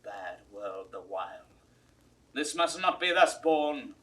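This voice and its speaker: A man's voice: mid-range voice, sing-song, slow pace, a bit bright, slightly rough, full character voice. A man acting an earnest, indignant English nobleman in a classical Shakespeare audio drama, declaiming with emphatic rhetoric. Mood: bitter, indignant.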